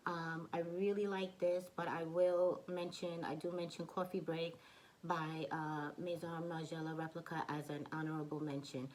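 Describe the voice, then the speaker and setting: A woman vlogger giving a chatty fragrance review, hoarse from allergies and coughing now and then, with many fillers and restarts. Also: fairly low pitch, a little mellow, poor audio. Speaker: beauty vlogger. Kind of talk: casual product review.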